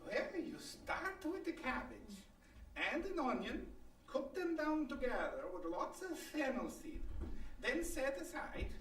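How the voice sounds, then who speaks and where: A woman playing an effusive old immigrant grandmother with an Eastern European accent and broken English in a live radio comedy. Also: sits high. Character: chatty old immigrant grandmother neighbor bringing food. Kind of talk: old-time radio comedy acting before a live audience. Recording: roomy live stage, distant mic, faint noise.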